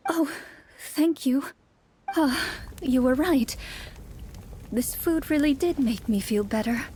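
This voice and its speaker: A woman's voice: sits high, lively intonation, takes it slowly, a little mellow, voice pushed up. A woman voicing an anxious, polite townswoman in a game, hesitant and worried for her husband. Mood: worried, grateful.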